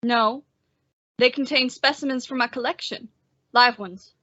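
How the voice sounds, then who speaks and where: A woman's voice: fairly high pitch, measured pace, sharp tone, no echo, highs cut off. A woman playing a timid, bookish young man made sheriff, with a hesitant, stammering, anxious manner, in an old-time western radio drama. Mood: shy, earnest. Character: timid, bookish young male sheriff, nervous insect collector.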